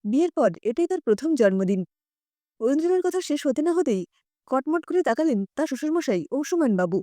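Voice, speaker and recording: High, mellow woman storyteller. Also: very animated, brisk, no room sound, clean studio sound. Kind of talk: expressive scripted story narration.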